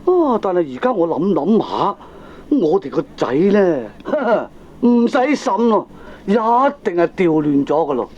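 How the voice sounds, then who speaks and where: An excitable, stubborn Hong Kong Cantonese father talks emphatically in an old comic radio play. His high voice swings up and down, on a dull lo-fi recording. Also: a little slow, full character voice. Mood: excited, insistent. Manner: emphatic, chatty, exaggerated delivery. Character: excitable middle-aged father, stubbornly convinced, earnest and talkative. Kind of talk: 1980s Cantonese comic radio drama acting.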